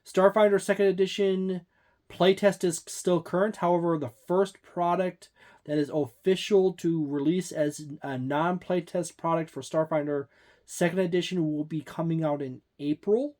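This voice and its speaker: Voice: mid-range voice, fairly even intonation, moderate pace, darkish tone. A tabletop game reviewer giving a book overview, hesitant, with frequent fillers and restarts.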